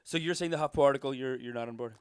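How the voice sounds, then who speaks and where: Fast-talking animated man. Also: medium pitch, clean tone, no room sound, studio quality. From Canada. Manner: animated, joking, fast storytelling. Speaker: podcast co-host and comedian. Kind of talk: casual podcast chat.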